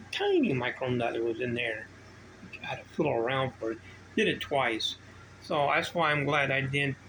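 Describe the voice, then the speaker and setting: A man's voice: medium pitch, a bit bright, low-quality recording. An older man giving a casual, rambling show-and-tell, drifting between informal asides as he talks. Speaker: vintage bike collector demonstrating his gear. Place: indoor home workshop room. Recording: noticeable background noise.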